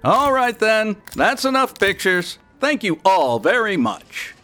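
Man as a bright, animated, brisk store boss. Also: high-pitched, faint music behind, exaggerated voice. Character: brisk, tight-fisted department store boss. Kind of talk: comic radio play acting.

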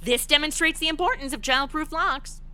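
Bright, sarcastic young babysitter, played by a woman. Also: high-pitched, moderate pace, slight background noise. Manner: sarcastic, wry. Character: sarcastic, blunt, wisecracking young female babysitter. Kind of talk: comedic holiday radio play acting.

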